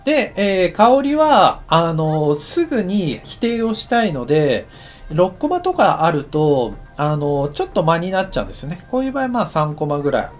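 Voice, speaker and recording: A man giving a casual, explanatory tutorial as an anime director, thinking aloud in short halting phrases. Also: fairly high pitch, sing-song. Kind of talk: instructional lesson narration, casual and explanatory.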